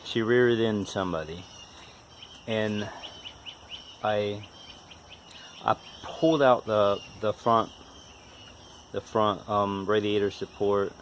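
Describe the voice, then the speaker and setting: Low-voiced man with a stutter. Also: steady tone, a little hiss or hum. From West Virginia, United States. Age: 40s. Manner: halting, self-deprecating, folksy. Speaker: vlogger, amateur car mechanic. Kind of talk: casual unscripted vlog talk.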